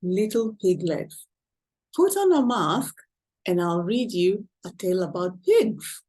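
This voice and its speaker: A woman voicing a kindly male traveling librarian in a children's storybook, gentle and pleading in manner. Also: medium pitch, sing-song, slow, slightly rough, exaggerated voice. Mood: inviting.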